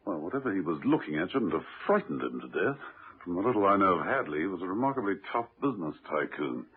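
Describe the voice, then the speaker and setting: An actor playing a suave, unflappable British gentleman investigator in a radio drama, on an old muffled recording. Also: deep voice, sing-song, fast, breathy edge, full character voice. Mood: cool, wry.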